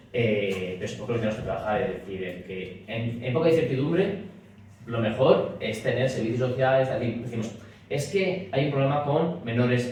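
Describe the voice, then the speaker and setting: A man speaking at a political meeting, pushing his points rapidly and looping back with restatements, recorded in a meeting room. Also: on the low side, darkish tone. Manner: rapid, talks in restarts with frequent "es decir". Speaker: speaker at a party talk. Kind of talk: public talk and Q&A. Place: meeting room at a party office. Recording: roomy echo, distant mic, faint hiss.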